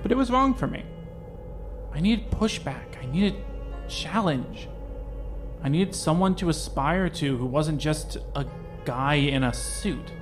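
A man playing an anxious young man in a fan audio drama, talking in a halting, rambling, self-questioning way. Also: on the high side, animated, over music. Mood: reflective. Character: anxious young man facing his identity.

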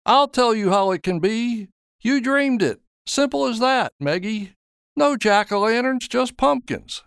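A man's voice: very high-pitched, expressive, a little slow, creaky, a bit bright, clean tone, no echo, studio quality, voice pushed up, exaggerated voice. A man voicing a teasing, folksy older husband and grandfather in an audio story.

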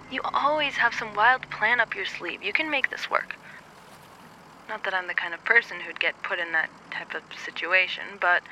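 Supportive best friend, played by a man: high, very sharp bright voice, casual and hesitant, audio drama. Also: a little hiss or hum, exaggerated voice, rough recording. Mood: encouraging. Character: reassuring, timid close friend on a phone call. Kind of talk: dramatised phone conversation in audio fiction.

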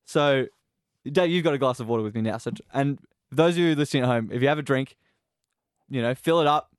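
A man's voice: mid-range voice, a little fast, clean tone, no room sound, studio quality. A man co-hosting a podcast in casual chat, laughing often and talking to listeners at home. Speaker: podcast co-host.

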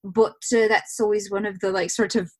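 A woman actor talking casually as an interview guest, animated and given to slipping into dialect voices. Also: medium pitch, normal speed.

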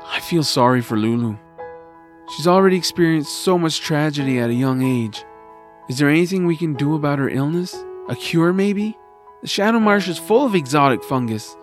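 Man playing young adventurer, kind, eager, lively pitch, music behind, audio drama. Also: moderate pace, natural voice. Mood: earnest. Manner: earnest, eager. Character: earnest, kind-hearted young adventurer and narrator. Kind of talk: audio drama acting and narration.

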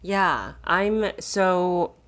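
A woman's voice: on the low side, animated, very slow, fairly bright tone. A woman chatting casually as a podcast guest, with a self-deprecating, conversational manner. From born in Taiwan, grew up in Japan and Los Angeles. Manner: self-deprecating, frequently laughing.

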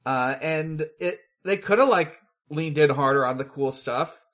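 Slow, halting podcast host. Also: mid-range voice, fairly bright tone. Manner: halting, hedging, rambling delivery. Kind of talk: casual film review chat.

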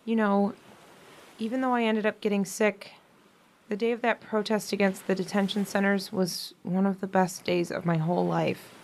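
A woman acting in a modern audio drama as a gentle, ailing young sister, earnest and reflective, with quiet resolve. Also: medium pitch, flat delivery, moderate pace, vocal fry, a little hiss or hum, natural voice. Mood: wistful, earnest.

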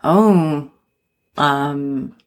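A woman's voice: low-pitched, drawn-out words. A woman, an actor guest, telling rambling stories about growing up in Alberta. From Alberta, born in Australia. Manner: rambling storyteller with restarts and laughs. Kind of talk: interview.

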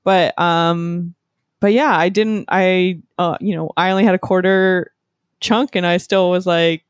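A woman from Canada co-hosting a relaxed food-tasting chat, full of fillers and giggles. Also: on the low side, a little slow, clear, resonant tone, dry recording, clean studio sound. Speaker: co-host tasting candy. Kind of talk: casual chat.

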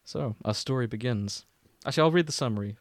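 A man's voice: medium pitch, fast, no room sound. A man hosting a podcast in casual comedic chat, joking and swearing freely. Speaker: podcast host.

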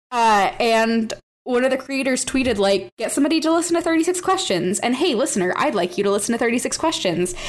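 Female podcast co-host, higher voice, unhurried and eager, casually profane. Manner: enthusiastic, swears freely, laughs often.

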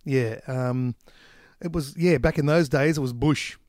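A man's voice: mid-range voice, measured pace, a little mellow, no room sound, studio quality. A middle-aged Australian man in his fifties, a guest musician, telling stories in a rambling, laid-back way during a casual podcast chat. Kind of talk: casual conversational interview.

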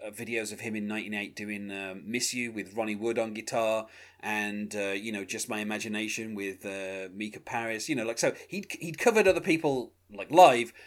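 A man from the UK hosting a music podcast, talking conversationally with many "um"s and "you know"s. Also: on the low side. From United Kingdom. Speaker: podcast host.

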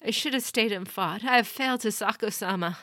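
A woman voicing an exhausted young woman warrior, hoarse and out of breath. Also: medium pitch, a little fast, fairly bright tone, no room sound, clean studio sound, pitched above the performer's own voice, lightly acted. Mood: breathless, distraught.

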